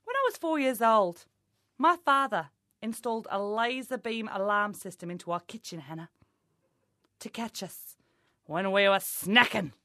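Brash, insulting woman, bright voice. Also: medium pitch, moderate pace, no echo, studio quality. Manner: brash, insulting, mock-motivational. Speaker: comic playing a life coach. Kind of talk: character comedy monologue.

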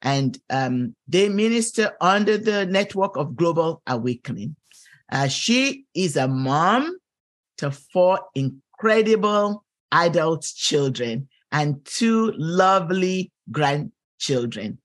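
A woman's voice: sits low, lively intonation, slow pace. A woman hosting a faith podcast, introducing a guest and asking questions with plenty of fillers and encouraging "mm-hmm"s. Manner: gracious, many fillers and affirming "mm-hmm"s. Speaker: podcast host. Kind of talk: interview hosting.

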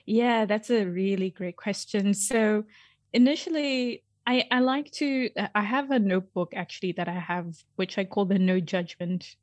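A woman's voice: medium pitch, normal speed, no room sound. Aussie woman podcast guest, chatty n upbeat, casual convo, really dry sound no room. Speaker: interview guest, podcast writer. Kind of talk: casual interview chat.

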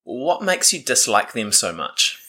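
A man hosting a podcast, asking questions in a relaxed, friendly way in his guest's living room, with many ums and restarts. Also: medium pitch, measured pace. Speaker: podcast host. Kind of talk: casual interview.

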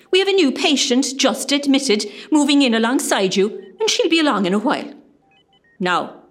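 Stern hospital nursing director played by a woman, high pitch, slow deliberate scolding, radio comedy. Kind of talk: amateur comedy radio play acting.

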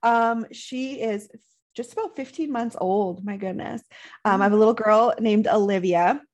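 A young woman co-hosting a casual podcast chat, talkative and quick to giggle. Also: medium pitch, darkish tone. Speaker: podcast co-host, nurse.

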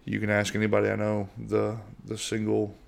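A middle-aged man from the United States hosting a casual solo podcast in a monotone voice, rambling with lots of fillers and restarts. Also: lowish voice, a little fast, vocal fry. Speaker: podcast host.

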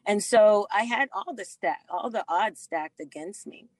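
A woman's voice: medium pitch, no room sound. A middle-aged woman from the Bahamas, a doctor and author, telling her life story earnestly in an interview with many fillers. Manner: slow and earnest, frequent fillers.

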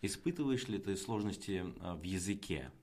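Male interviewer, very low pitch. Conversational questioning, halting delivery with frequent pauses. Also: expressive, darkish tone.

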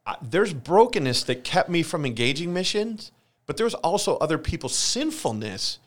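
A man's voice: on the high side, sing-song, moderate pace. A man in his forties with an American accent speaks as a guest in a church conversation. He sounds earnest and open, giving personal testimony and repeating himself to drive a point home. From Michigan, United States. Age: 40s. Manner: earnest, confessional, emphatic repetition.

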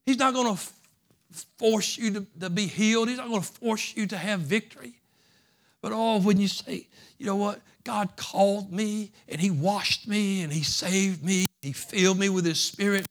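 A man's voice: high-pitched, normal speed. Fervent preaching man, rising voice. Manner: builds from soft conversational to loud fervent preaching; folksy, repeats phrases. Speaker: pastor. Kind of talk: sermon.

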